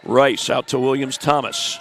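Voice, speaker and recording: A congested man with the flu giving steady play-by-play of a high school girls' basketball game, adding stats and schedule notes. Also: unhurried, gravelly, a little hiss or hum. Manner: steady, informative play-by-play with stat and schedule asides. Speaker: play-by-play announcer. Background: faint gym ambience, ball bouncing.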